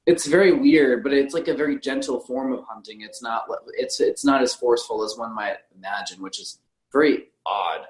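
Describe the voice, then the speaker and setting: In a clearly roomy, echoing recording, a man with a medium-pitched voice talks casually as a podcast co-host. His phrasing is measured and a little hesitant. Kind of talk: casual film discussion.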